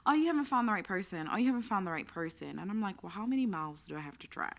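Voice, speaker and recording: A woman's voice: mid-range voice, lively intonation, vocal fry. A young woman co-hosting a podcast, chatting candidly and giggling often. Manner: candid, giggly, drawn-out phrasing. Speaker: podcast co-host. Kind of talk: casual chat.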